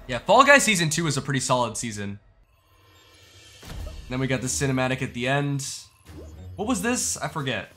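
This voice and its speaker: A young man giving casual reaction commentary as a gaming YouTuber, informal and slangy, thinking out loud as he goes. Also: medium pitch, very animated, moderate pace, long pauses, faint music behind.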